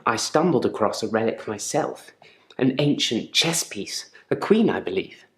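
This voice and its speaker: An actor playing a controlled, quietly menacing therapy patient in a game drama, speaking in a measured, deliberate and politely probing way. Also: male voice, medium pitch, animated, reverberant. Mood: calm, reflective. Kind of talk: live-action game drama monologue.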